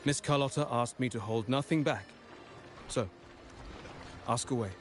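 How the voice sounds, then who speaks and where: An actor playing a courteous gentleman of a powerful merchant family in a video game, formal and obliging. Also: mid-range voice, unhurried, faint music behind, natural voice. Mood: apologetic, courteous.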